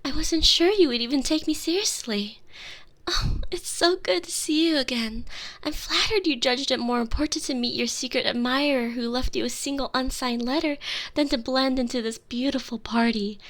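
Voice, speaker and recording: A woman's voice: high voice, moderate pace, fairly bright tone. A woman playing a sheltered, haughty, lonely young princess in an intimate, theatrical ASMR roleplay on a close binaural mic, sometimes dropping into whispers. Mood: delighted, teasing. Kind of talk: ASMR roleplay, scripted monologue. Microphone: close binaural microphone.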